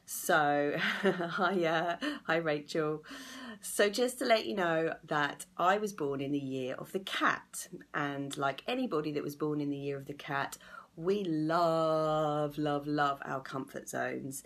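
A woman in her thirties with a croaky voice, hosting a casual live video as an anxiety coach. She is chatty and encouraging and greets viewers by name, talking into a phone in a small room at home. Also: low-pitched. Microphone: phone or laptop camera at arm's length.

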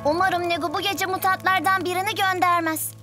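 A woman's voice: very high-pitched, steady tone, brisk. A woman voicing a bossy, sensible little girl adventurer in a children's cartoon, mildly scolding. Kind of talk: children's cartoon dub acting. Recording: music bed under the voice.

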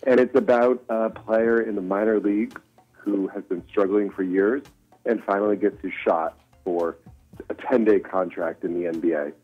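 Husky, low-voiced man on a muffled call. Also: fairly even intonation, moderate pace. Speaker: writer-producer, interview guest. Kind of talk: casual promotional interview. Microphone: remote call-in line. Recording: muffled lo-fi call, faint music.

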